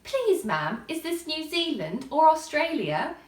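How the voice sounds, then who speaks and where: A curious little girl from a storybook, acted out by a woman, high and very animated. She muses aloud to herself, chatty, earnest and a bit dreamy. The sound is roomy, away from the microphone. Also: unhurried, distant mic. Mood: wondering, chatty. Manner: musing aloud to herself, wondering.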